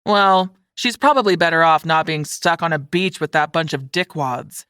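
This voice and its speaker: A woman's voice: deep voice, moderate pace, fairly bright tone, clean tone, no echo, clean studio sound. A woman voicing a blunt, sassy young woman student in an audiobook, sardonic and forthright. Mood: dry.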